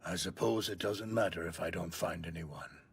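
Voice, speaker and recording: An actor in an English anime dub playing a dignified, wry older gravekeeper with a dry, stoic manner. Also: male voice, low-pitched, moderate pace, gravelly, a little hiss or hum. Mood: wistful, gentle.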